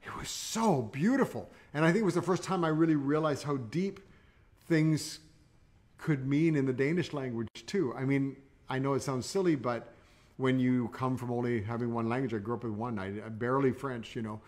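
A man's voice: mid-range voice, moderate pace, a little mellow. An older man, co-hosting a music livestream from his living room, chatting eagerly and echoing his partner. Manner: enthusiastic, chatty, echoes his partner's words. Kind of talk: casual chat. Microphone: studio mic on stand, far camera.

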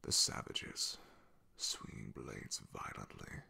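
A man playing a solemn, haunted, devoted knight in an ASMR fantasy roleplay, speaking haltingly in a husky, gravelly half-whisper. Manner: hesitant, halting, confiding in hushed tones. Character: loyal male knight, solemn, haunted, devoted to his princess.

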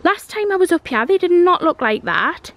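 A woman's voice: extremely high pitch, sing-song, normal speed. A young woman from Newcastle with a Geordie accent, giving a casual, chatty house tour as a vlogger. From Newcastle, North East England. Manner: chatty and informal. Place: walking through a house and garden. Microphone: handheld camera, held by speaker.

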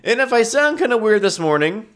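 A young man with a stuffy, nasal voice talks through his gameplay in a casual ramble. His voice sits high and swings widely up and down. He keeps restarting his sentences and chuckles at himself along the way. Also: sing-song, brisk, fairly bright tone. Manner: rambling, self-correcting, giggly. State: congested, nasal from a stuffy nose. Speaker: gaming YouTuber.

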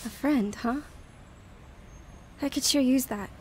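A woman's voice: sits high, slow, a little mellow, slight background noise. An actress playing a lonely, hesitant woman who has found faith and tells her story earnestly in an audio drama. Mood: hesitant, wistful. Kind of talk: audio drama dialogue and first-person narration.

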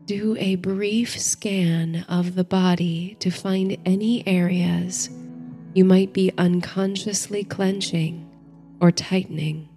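Female sleep-story guide: soft mellow tone, very unhurried, hushed and calming delivery. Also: lowish voice. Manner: soothing, hushed, gentle, unhurried. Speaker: host and narrator of a sleep story series. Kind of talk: bedtime story and guided meditation narration. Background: faint music.

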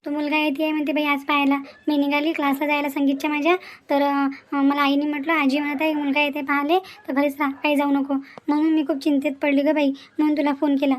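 A woman voicing an anxious young woman in an animated family drama, confiding and plaintive. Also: high voice, quick pace, natural voice. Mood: worried.

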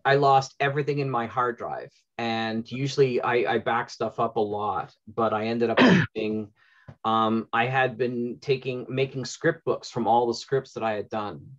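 A man's voice: medium pitch, fairly even intonation, normal speed, a bit bright. A middle-aged man talking informally as the host of a panel. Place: indoor room at home, on a video call. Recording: dull lo-fi video call audio.

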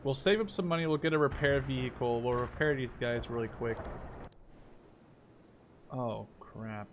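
A man's voice: mid-range voice, moderate pace, muffled recording. Young man commentating over battle noise. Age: 20s. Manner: thinking aloud. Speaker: gaming video host. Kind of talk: casual gameplay commentary. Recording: loud game gunfire behind. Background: strategy game battle sounds, artillery, vehicles.

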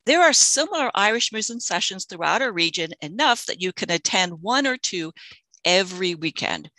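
A woman's voice: mid-range voice, animated, moderate pace, sharp tone, no echo. A woman in her sixties hosts a video-call session from her living room, reading a friendly introduction and fumbling a little with the screen. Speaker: host of a folk music society session. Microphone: headset mic on a video call.

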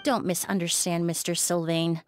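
A woman voicing a shrewd, composed young perfumer in a game, speaking in measured, formal, polite sentences. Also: lowish voice, level tone, over music, close to an everyday voice. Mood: earnest, composed. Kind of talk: scripted game dialogue.

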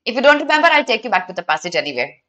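A young woman with an Indian accent and a high, very bright voice teaches an online exam class. She addresses her students directly in an instructional way, moving between English and Hindi. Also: very animated, very fast. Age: young adult. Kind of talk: online lesson, talking to live students.

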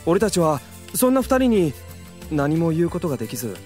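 An actor playing a reflective young man who narrates an anime audio drama in a measured, pensive way. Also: on the high side, big pitch swings, a little mellow, soft background music. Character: reflective young male narrator recalling school romance. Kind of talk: scripted first-person drama narration.

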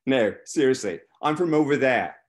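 A man voicing a brash, chatty young bass player from a novel, bossy and jovial. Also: lively intonation, normal speed, close to an everyday voice. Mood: amused.

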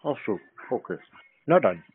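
A man's voice: mid-range voice, very animated, normal speed, slightly rough. A man chatting casually on a call, unfazed and nonchalant, with a dryly provoking way of talking. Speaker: scam-baiter. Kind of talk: casual phone conversation. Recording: dry, narrow phone-like sound.

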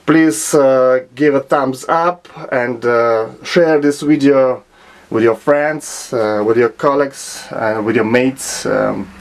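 A man with a Russian accent explaining his own restoration work in a small home workshop, speaking haltingly with many filler sounds and restarts. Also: medium pitch. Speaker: hobbyist restorer. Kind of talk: hesitant show-and-tell narration.

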